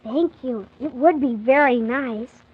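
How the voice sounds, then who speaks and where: A performer playing Tim, a polite young boy telling his story with earnest, animated wonder on 1940s children's radio. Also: high voice, measured pace, breathy edge. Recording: muffled, hissy 1940s radio transcription.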